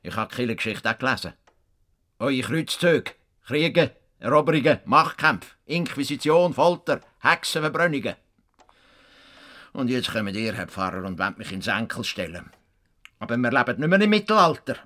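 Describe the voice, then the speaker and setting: A man's voice: medium pitch, big pitch swings, moderate pace, sharp tone, no echo, studio quality. A man acting an indignant, stubborn old farmer in a radio play, with a Swiss German accent and a grumbling, emphatic manner. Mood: angry, defiant. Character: stubborn, indignant old male Swiss farmer and self-appointed world reformer.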